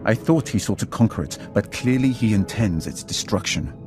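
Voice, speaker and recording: Low, animated, ominous advisor played by a man. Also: normal speed, over music, natural voice. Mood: grave, ominous. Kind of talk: video game voice acting.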